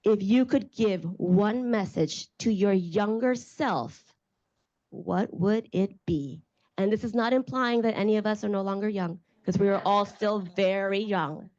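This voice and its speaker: A woman's voice: mid-range voice, a little slow, a little mellow, lo-fi sound. A woman moderating a panel, putting the closing question to the panelists with a light joke.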